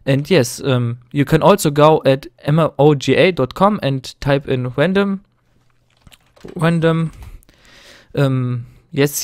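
A man's voice: mid-range voice, clean tone, no echo, clean studio sound. Nervous young man with a German accent. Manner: nervous, hesitant, many fillers and restarts. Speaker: gaming tutorial host. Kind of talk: screen-recorded tutorial narration.

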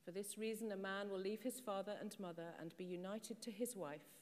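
A middle-aged woman preaching from a church pulpit in a measured, explanatory way, asking the congregation questions. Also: medium pitch, steady tone. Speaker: priest. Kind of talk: sermon. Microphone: far camera. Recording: long church reverb.

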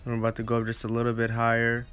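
A man's voice: mid-range voice, monotone, rapid-fire, a bit bright, smooth, faint music behind. A man narrating a casual step-by-step barber tutorial, with hesitant, repetitive phrasing.

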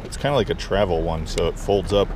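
A man's voice: low voice, fast. A man vlogging with a chest camera while browsing a covered outdoor flea market, chatting casually and friendly with sellers. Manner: easygoing, friendly small talk with sellers. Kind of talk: casual chat while shopping. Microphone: chest-mounted action camera. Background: crowd chatter.

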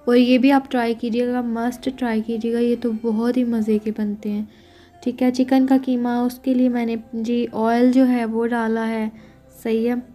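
Young woman, casual recipe talk, fairly high but flat voice, mellow tone, keeps checking in with viewers. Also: normal speed, soft background music. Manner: chatty, keeps saying "theek hai" to check in with viewers. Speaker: cooking vlogger. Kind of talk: casual cooking narration.

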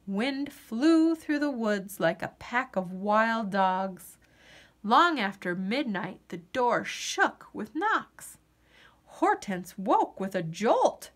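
Female picture-book reader: unhurried, animated delivery with dramatic pauses for young listeners. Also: medium pitch. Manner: dramatic, deliberate, with drawn-out pauses. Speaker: storyteller reading a picture book aloud. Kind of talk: expressive children's storybook narration.

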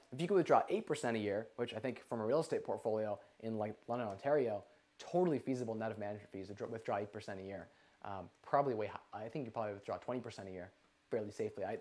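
Fast-talking young man hosting a live Q&A. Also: medium pitch. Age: 20s. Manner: energetic, talks with his hands, lots of "like". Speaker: livestream host. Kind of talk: live Q&A on investing. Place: living room with a couch, indoors.

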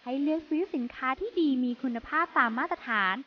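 Bright high-voiced young woman TV host. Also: a little fast, highs cut off, a little hiss or hum. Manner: polite, chirpy, frequent soft polite particles. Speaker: TV show host. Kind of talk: bright scripted TV presenting. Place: inside a busy supermarket.

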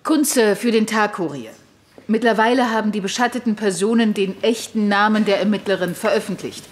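A woman's voice: mid-range voice, brisk, close to an everyday voice. Businesslike female press reporter, played by an actress, middle register, audio drama questioning. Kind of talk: acted press conference questioning.

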